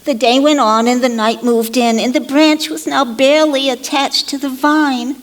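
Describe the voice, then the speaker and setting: A woman storyteller narrating a children's parable theatrically, expressive and deliberate, with playful asides. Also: high-pitched.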